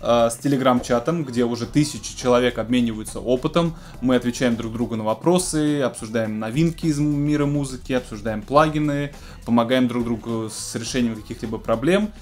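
A man's voice: mid-range voice, fairly quick, a little mellow. A man in his thirties casually presenting news to camera in an explanatory, conversational way, as host of a music technology show. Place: small home music studio, indoors.

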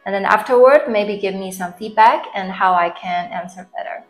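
A woman's voice: medium pitch, normal speed, soft background music, low-quality recording. A young woman hosting an upbeat explainer video, talking to camera. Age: young adult. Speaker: tech tips video host. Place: living room, indoors.

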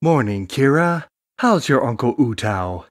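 A man's voice: mid-range voice, very animated, a little slow, heavy background noise. A man playing a nosy, familiar, gossipy tradesman in an audio drama.

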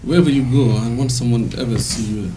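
A man's voice: mid-range voice, mellow. Somali-accented man, distant and noisy. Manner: halting, repeats words while searching. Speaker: panel guest, interpreter. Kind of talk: panel discussion. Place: TV studio, indoors. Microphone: handheld mic.

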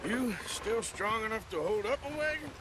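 An actor playing a gruff, laconic Old West outlaw with an American accent, blunt and needling, in a video game. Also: male voice, high voice, expressive, moderate pace. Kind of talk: video game dialogue acting. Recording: loud music and wagon noise behind.

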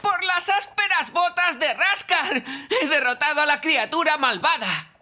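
A woman's voice: sits high, animated, a little slow, bright, forceful tone, full character voice. A woman voicing an earnest, valiant young male elf adventurer in a children's cartoon, pompous and heroic in manner. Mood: heroic zeal. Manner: pompous, heroic, archaic phrasing. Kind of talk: animated children's cartoon dub. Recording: music behind the voice.

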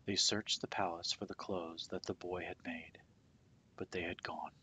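A man reading a children's picture book aloud as storyteller, with deliberate, dramatic phrasing that softens at the ends of phrases. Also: low voice, fairly even intonation, slight background noise.

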